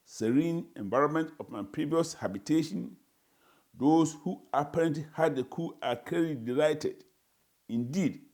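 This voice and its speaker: A man's voice: a little high, a little mellow. Indignant newborn for a dramatized story, wordy and pompous, Ghanaian accent, played by a man. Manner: formal, pompous, florid phrasing. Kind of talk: dramatized first-person reading.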